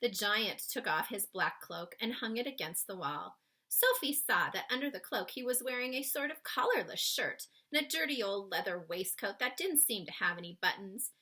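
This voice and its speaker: A woman reading a children's story aloud expressively, with dramatic pauses, speaking to young listeners. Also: mid-range voice, lively intonation, pushed and bright.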